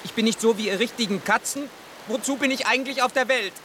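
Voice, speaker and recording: An actor playing a naive, despairing pet tomcat in a radio drama, plaintive and earnestly questioning. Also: male voice, extremely high pitch, quick pace, pushed and bright. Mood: despairing, lost. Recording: constant rain-like noise behind the voice.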